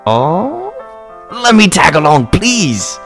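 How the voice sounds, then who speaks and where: A man playing an eager teenage vampire little brother in a fantasy audio drama, excitable and wheedling. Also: high-pitched, takes it slowly, creaky, soft background music, full character voice. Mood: pleading.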